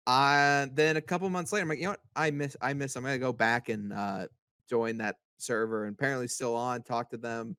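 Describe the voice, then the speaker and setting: A young man chatting casually on a podcast, talking haltingly and repeating his words as he goes. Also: mid-range voice, steady tone, pushed and bright, clear, resonant tone, dry recording, clean studio sound. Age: young adult. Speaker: podcast member, amateur voice actor. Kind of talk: casual chat.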